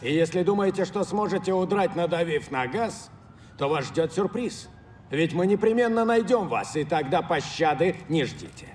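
An actor playing a ruthless, threatening male police lieutenant in a video game, stern and deliberate. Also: high-pitched, lively intonation, a little mellow, distant mic, slight background noise. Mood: stern threat.

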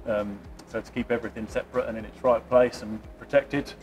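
A man's voice: medium pitch, fairly even intonation, fairly quick, a little mellow, faint music behind. Regular guy explaining the workshop, lots of ums, echoey big room. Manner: plain, matter-of-fact explaining with many ums. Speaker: team staff member giving a tour. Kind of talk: explaining on a walking tour. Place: large workshop indoors. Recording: roomy workshop echo.

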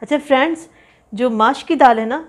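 A slightly high-voiced woman talks through a home cooking lesson in a relaxed, chatty way. She lists quantities carefully, pausing and repeating items as she goes. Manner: chatty, instructive, lists ingredients slowly with repeats. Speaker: cooking channel host. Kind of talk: home cooking tutorial voice-over. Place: home kitchen.

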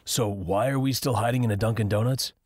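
A man's voice: fairly low pitch, brisk, darkish tone, breathy edge, no echo, clean studio sound, pitched above the performer's own voice. A man voicing a casual, wry young man in an audiobook.

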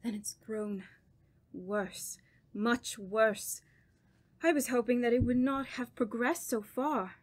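Occult doctor, female actress, radio horror: fairly high voice, grave, commanding, deliberate delivery. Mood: worried, grave. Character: grave, commanding woman doctor who studies evil spirits. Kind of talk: radio horror drama acting.

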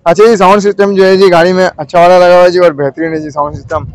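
A man's voice: high voice, level tone, racing, no echo. A man with a Pakistani Urdu accent interviews a seller at an outdoor car market, politely repeating each answer back to the camera. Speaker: vlogger touring a car market. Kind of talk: walkaround car review interview.

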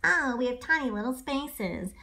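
A woman voicing excitable storybook circus animals, exclaiming and repeating phrases. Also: high voice, normal speed, full character voice. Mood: whiny.

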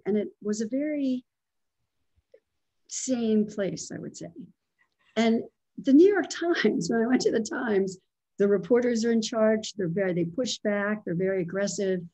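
A woman's voice: mid-range voice, moderate pace, warm tone, rough recording. A woman in her sixties from Pennsylvania, a writer speaking as a guest over a video call from her living room, thinking aloud as she answers. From small town in Pennsylvania. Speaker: guest, writer and former editor. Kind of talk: conversational video-call interview. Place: home living room with bookshelves.

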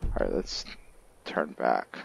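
A man's voice: slow pace, slight background noise. A man giving casual roleplay commentary on a video game, musing and thinking aloud as he plays. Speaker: gaming video host and player. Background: game music.

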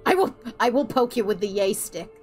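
A woman's voice: medium pitch, expressive, fairly quick, darkish tone, faint music behind. A woman streaming a video game, ranting sarcastically and swearing at enemies as she plays. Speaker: gaming streamer. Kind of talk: ranting let's-play commentary.

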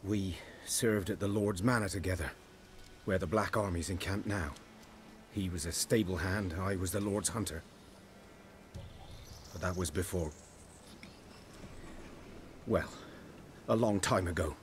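A rustic woodsman from a fantasy video game, with a fairly deep voice. He is a wary, melancholy loner who talks softly and hesitantly. Faint noise sits behind him. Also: steady tone, measured pace, long pauses. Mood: subdued, wistful. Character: wary, soft-spoken male village hunter, melancholy loner.

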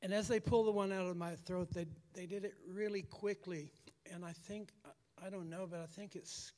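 A raspy-voiced man giving a halting personal testimony to a church congregation, repeating words as he goes. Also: sits high, steady tone, a little mellow. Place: church hall. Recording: long church hall reverb.

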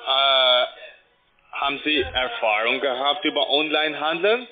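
A man selling by phone in halting, broken non-native German, often ending on a checking tag. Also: medium pitch. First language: not German. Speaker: telephone trading salesman. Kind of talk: unscripted sales phone call. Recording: narrow muffled phone line.

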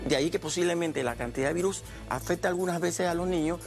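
A middle-aged man with a gravelly, slightly high voice talks as a doctor on a morning TV set. He explains each point calmly and simply. Faint music sits under his lapel mic. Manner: measured, explains in plain terms. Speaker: infectious disease doctor guest. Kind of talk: explanatory TV interview. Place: TV studio.

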